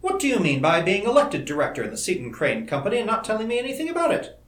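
A man's voice: mid-range voice, sing-song, brisk, close to an everyday voice. A man voicing a devoted, spirited young woman in a storybook, tender and teasing in her directness. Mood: demanding. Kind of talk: old-fashioned storybook dialogue. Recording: roomy, echoing indoor recording.

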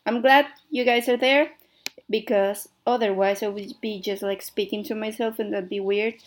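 A young woman from Mexico hosts a laid-back radio show. Her speech carries a blended Mexican and American accent. Her voice sits slightly high, and she wanders through her thoughts with plenty of fillers. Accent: Mexican, mixed with American English. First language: Spanish. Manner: rambling, lots of fillers like "I don't know" and "like". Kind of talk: casual radio DJ chat.

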